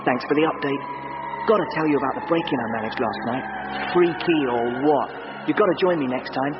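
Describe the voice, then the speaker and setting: An actor playing a smug, boastful computer hacker in a radio drama monologue, conspiratorial in manner. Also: male voice, on the high side, a little fast, very noisy, lightly acted. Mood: gleeful, boastful. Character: cocky, boastful male young computer hacker. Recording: dull sound under loud music.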